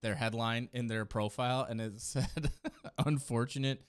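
A man's voice: mid-range voice, fairly even intonation, normal speed. A man in his thirties giving enthusiastic, slangy reaction commentary in a casual style. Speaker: video host reacting to game trailers. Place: small indoor room, streaming setup. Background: game trailer music.

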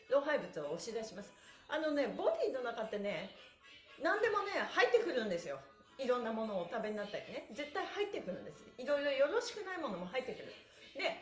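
A middle-aged woman leading a live stretching class with cheerful, polite and playful coaching, chatting and counting as she goes. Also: fairly high pitch. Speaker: stretching instructor and pantomime performer. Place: small room indoors, on an exercise mat. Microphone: camera or phone a short distance away. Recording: roomy, echoey distant device mic.

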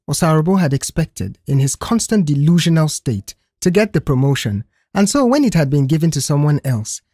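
A man reading scripted story narration in an expressive, careful storytelling manner. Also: on the high side, breathy edge, no room sound, studio quality. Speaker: audiobook narrator.

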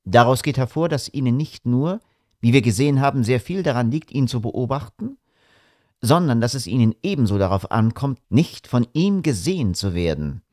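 A man voicing a shrewd male detective who asks probing questions in a measured way. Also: mid-range voice, expressive, a little mellow, no room sound, clean studio sound, lightly acted. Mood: calm.

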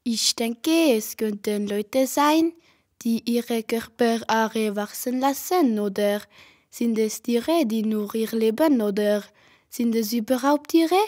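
A woman's voice: a little high, takes it slowly, a little mellow. A woman acting a curious, stubborn young foreign newcomer in an amateur radio play. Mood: curious, earnest.

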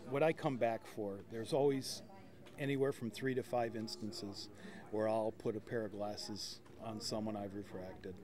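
A man's voice: lowish voice, steady tone, a little slow, darkish tone. A man, a volunteer optician, answering interview questions in an earnest, heartfelt way.

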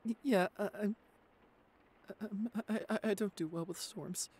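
A man's voice: high-pitched, normal speed, a little mellow, breathy edge. A man playing a stammering, frightened young boyfriend. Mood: frightened, trembling. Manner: stammering, hesitant, pleading. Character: shy nineteen-year-old boyfriend, anxious, apologetic, clingy. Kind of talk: ASMR roleplay acting.

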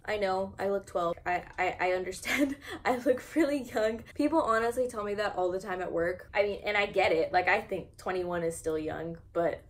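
A woman's voice: mid-range voice, moderate pace, hardly any pauses. A 21-year-old woman with an American accent, chatting casually and informally in a self-deprecating, rambling way as she vlogs from home. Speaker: houseplant vlogger. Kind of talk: casual rambling chat while repotting plants. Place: indoor room at home with plants. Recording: noticeable background noise, home video.

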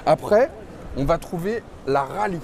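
A man's voice: fairly high pitch, lively intonation, measured pace, gravelly, crowd noise. A man in his forties chatting eagerly as a co-host while touring a large exhibition hall, explaining details as he goes. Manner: enthusiastic, explains features. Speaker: show co-host. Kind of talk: casual chat touring a trade show.

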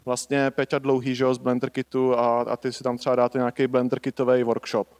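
A man's voice: mid-range voice, monotone, darkish tone, clear, resonant tone. A man in his thirties hosting a conference, speaking into a handheld mic in a large lecture hall, loose and chatty with many fillers. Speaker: conference host. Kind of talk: casual welcome speech. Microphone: handheld microphone.

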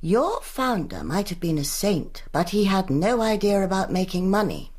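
An actress playing a chatty, sympathetic married clinic volunteer in a radio drama, plain-spoken and confiding. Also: female voice, mid-range voice, very animated, moderate pace, a little mellow. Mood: chatty, rueful. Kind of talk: British radio drama acting.

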